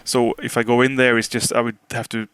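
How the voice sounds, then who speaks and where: A man's voice: medium pitch, little pitch movement, brisk, fairly bright tone, clear, resonant tone, dry recording. A man from Norway with a Norwegian accent, a native Norwegian speaker hosting a casual podcast in English, hesitantly searching for words. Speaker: podcast host.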